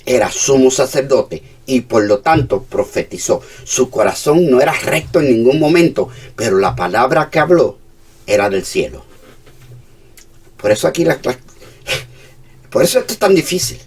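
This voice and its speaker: A man with a Cuban accent, preaching a sermon as a pastor, moving between hushed and emphatic passages. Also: mid-range voice, lively intonation, moderate pace, husky, distant mic.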